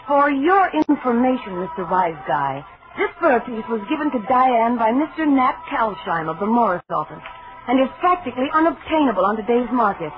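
An actress playing a brash, skittish young stage dancer in a 1940s radio thriller, with defensive, slangy talk. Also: female voice, on the high side, very animated, moderate pace. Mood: haughty. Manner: brassy, defensive, slangy show-business talk. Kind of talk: 1940s radio suspense acting. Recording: dull 1940s radio recording, music behind.

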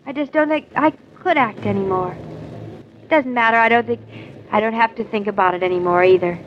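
An actress playing a wistful, self-doubting young wife in an earnest, sometimes halting 1940s radio drama style. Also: female voice, a little high, lightly acted. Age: young adult. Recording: muffled, noisy 1940s radio recording.

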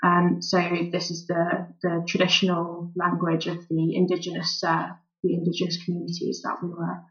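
A woman doctor in a relaxed interview, answering thoughtfully with frequent "um" fillers. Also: on the low side, moderate pace, lo-fi sound. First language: English. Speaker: volunteer doctor, guest.

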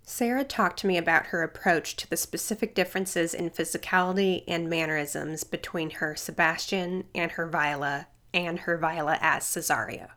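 A woman host reading scripted narration for a radio arts feature in a measured way. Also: fairly low pitch, a bit bright.

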